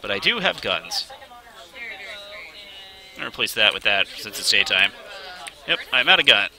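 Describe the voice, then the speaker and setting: A man giving live commentary while playing a game, excitable and talking to himself, with a slight cold and sniffling. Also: on the low side, normal speed, creaky, crowd noise. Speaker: game streamer. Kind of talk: live let's play commentary. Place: game studio event.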